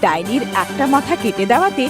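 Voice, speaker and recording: A woman's voice: a little high, big pitch swings, fast, over music. A woman narrating a scripted cartoon story as its storyteller.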